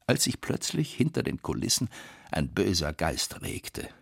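A man performing a wry literary storyteller in a radio drama, with dramatic emphasis and pauses. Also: low voice, very animated, gravelly, breathy edge, dry recording, clean studio sound, exaggerated voice. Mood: reflective. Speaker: actor reading narration and letters.

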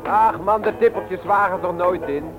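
An actor playing a brash, calculating young petty thief in a 1960s radio drama, on a dull recording. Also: male voice, high voice, moderate pace, close to an everyday voice. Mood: cocky, calculating. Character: cocky scheming youth, leader of petty book thieves. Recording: dull old radio recording, music behind. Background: music.

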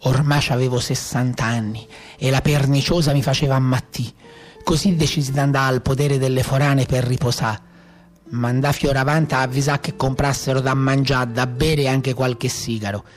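A man's voice: medium pitch, fairly even intonation, normal speed, soft background music, natural voice. A man playing a weary, proud old brigand with a Tuscan accent, telling his life in colloquial, reflective theatrical monologue. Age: 60s, as played. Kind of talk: theatrical first-person storytelling.

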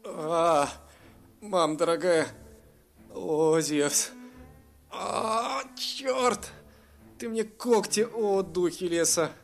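A man's voice: sits high, sing-song, racing, hardly any pauses, fairly bright tone, faint music behind, pitched above the performer's own voice. A man voicing a sarcastic, hot-tempered young male elf in a storybook reading, full of complaints. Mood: pained.

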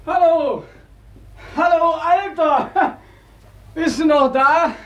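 A man's voice: very high-pitched, extremely slow, fairly bright tone, muffled recording, exaggerated voice. A man in a 1940s radio drama playing a bitter, desperate young soldier back from war, sardonic and declamatory. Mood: drunken, bitter.

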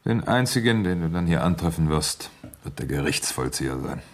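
Deep, husky voice of an uneasy widower. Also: male voice, lively intonation, moderate pace. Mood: curt, bitter. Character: nervous, evasive widowed husband turned bitter. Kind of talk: radio drama acting.

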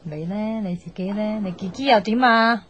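A woman's voice: medium pitch, normal speed, natural voice. An actress playing an outspoken, teasing young woman who gives love advice, chatty and sighing, with a Hong Kong Cantonese accent in a radio drama. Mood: nosy, advising. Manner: chatty, blunt, sighing, mock-exasperated. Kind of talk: naturalistic radio drama acting. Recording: slightly dull, music underneath.

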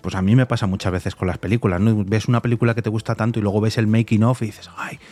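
A man's voice: lowish voice, flat delivery, quick pace, a little mellow, dry recording. A man with a Castilian Spanish accent hosting a casual interview, effusive and enthusiastic. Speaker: podcast host, interviewer.

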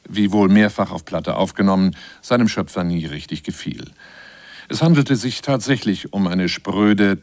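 Man, radio feature narrator, scripted delivery, low pitch, dry studio sound. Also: dry recording. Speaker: narrator and presenter.

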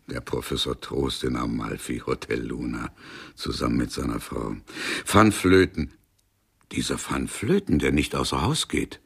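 A man's voice: extremely low pitch, expressive, measured pace, full character voice. An actor playing a grumbling, sardonic police detective in a German radio crime drama, peppering his speech with Italian. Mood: exasperated. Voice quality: gravelly, husky. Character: grumbling, impatient police detective in Rome, male.

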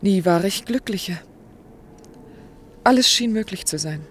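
A woman's voice: medium pitch, expressive, measured pace, fairly bright tone, slight background noise. An anguished, resigned woman in love. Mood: wistful, hurt. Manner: earnest, formal, emotionally restrained. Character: anguished, resigned, dutiful woman working at a clinic, in love with a doctor. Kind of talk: German radio drama acting.

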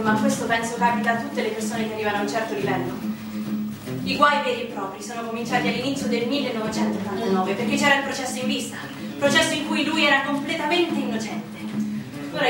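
A woman's voice: on the high side, natural voice. An actress playing a banker's mature widow in a theatrical interview, guarded, defiant and bitterly loyal to her dead husband. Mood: frank, defensive. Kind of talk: theatrical stage interview dialogue. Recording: very echoey, distant, music under the voice.

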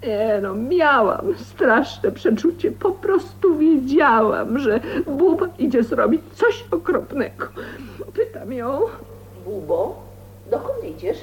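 A scandalized middle-aged mother in an old radio play. Her high voice swings widely as she laments and scolds with indignation. The recording is dull and faintly hissy. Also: big pitch swings, slow pace, exaggerated voice. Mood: aghast, lamenting. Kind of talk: radio drama acting.

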